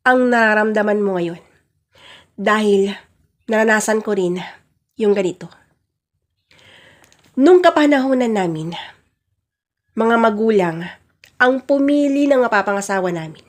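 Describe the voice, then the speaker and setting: Stern patriarch played by a woman, high voice, commanding and insistent, for a radio-style family drama. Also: animated, unhurried, pauses often. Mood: reflective, regretful. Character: domineering, angry father, stern head of the family.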